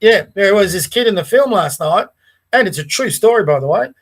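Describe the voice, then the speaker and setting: A man's voice: high voice, lively intonation, a little fast, slightly rough. A man with an Australian accent hosting a casual political podcast, talking earnestly with frequent restarts and asides. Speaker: podcast host.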